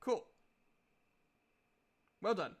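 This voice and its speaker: A man acting as game master, casually narrating a tabletop game and explaining rules. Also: drawn-out words.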